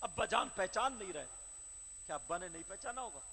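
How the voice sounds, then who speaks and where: A man preaching a devotional sermon, telling the story with impassioned shouting and chanted, sing-song phrases. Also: high voice, lively intonation, creaky. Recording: reverberant hall with faint crowd.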